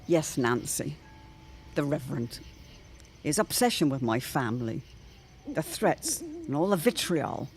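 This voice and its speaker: A woman's voice: deep voice, big pitch swings, a little slow, slight background noise, exaggerated voice. A woman playing an anxious, eloquent neighbourhood matron in a period radio drama, speaking in formal, theatrical phrases. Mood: troubled, foreboding. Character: Jane Pembroke, anxious, eloquent older neighbourhood matron with premonitions.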